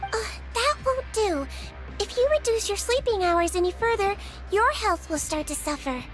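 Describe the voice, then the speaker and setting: A woman voicing a small, gentle, caring girlish nurse in a video game, in a soft, high and sweet voice. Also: lively intonation, moderate pace. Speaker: head nurse. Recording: game dialogue with clear background music.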